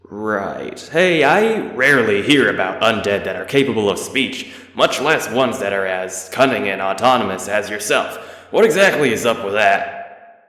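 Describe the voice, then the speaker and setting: A man's voice: fairly low pitch, animated, normal speed, fairly bright tone. A man voicing a shaken human fighter who talks in a nervous, apologetic way. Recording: reverberant roomy echo.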